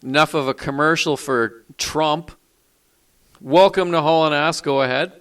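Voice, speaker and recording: A man hosting a call-in show, brisk and dry, dismissive of prank callers. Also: on the high side, steady tone, fairly bright tone, clear, resonant tone.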